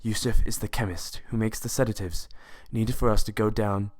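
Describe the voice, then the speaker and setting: Give the voice of a confident forger in a fan audio drama acted by a man. He sits fairly low and explains things with calm, knowing assurance, like a mentor briefing a novice. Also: moderate pace, natural voice. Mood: explaining.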